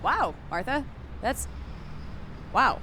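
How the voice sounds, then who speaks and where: A woman playing a distraught bar owner in a sci-fi radio drama, speaking in a halting, guarded way. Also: high-pitched, big pitch swings, bright, forceful tone, slight background noise, full character voice. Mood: taken aback.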